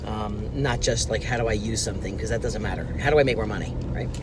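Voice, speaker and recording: A man's voice: lowish voice, quick pace, background noise. A man in his fifties giving an earnest motivational talk to his phone camera from inside a car, repeating his key points with emphasis. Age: 50s. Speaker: business coach. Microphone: phone camera at arm's length.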